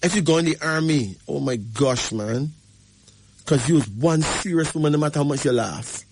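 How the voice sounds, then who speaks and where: A man's voice: a little high, normal speed, a little mellow, breathy edge, dry recording. A man hosting a show, giving an emphatic numerology reading and checking in with the caller again and again. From Barbados. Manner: emphatic, repeats "right?" to the caller. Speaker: radio host.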